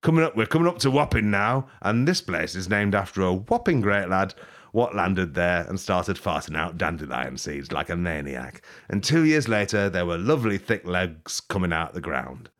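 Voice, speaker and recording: A man rambling through an improvised mock tour-guide bit, deadpan and absurd, swearing and laughing at his own jokes. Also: low-pitched, moderate pace. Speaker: podcast host.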